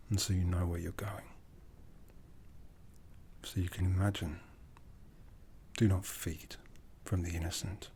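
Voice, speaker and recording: A man playing an aloof, commanding aristocratic vampire in an ASMR roleplay, half-whispering slowly and deliberately with a breathy, seductive delivery. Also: low voice, a little mellow, slight background noise. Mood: stern, instructive. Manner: slow, deliberate, measured.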